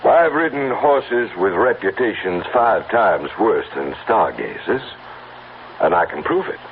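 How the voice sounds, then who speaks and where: An actor playing a cocky, persistent, smooth-talking horseman in an old-time radio drama. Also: lowish voice, big pitch swings, a little slow. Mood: cocky, wheedling. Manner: smooth-talking, insistent, self-assured. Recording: tinny band-limited old radio recording with hiss.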